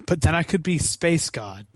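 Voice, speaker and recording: A man's voice: a little high, animated, slow, a bit bright, dry recording. Dry-recorded man chatting, wry and sweary. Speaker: podcast guest, charity gaming marathon organizer. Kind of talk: casual chat.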